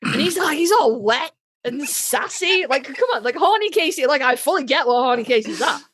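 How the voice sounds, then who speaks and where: A woman co-hosting a podcast, chatting casually as a fan in an animated, emphatic, joking way with plenty of swearing. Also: high-pitched, normal speed, no echo. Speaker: podcast co-host. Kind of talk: casual fan chat about a TV series.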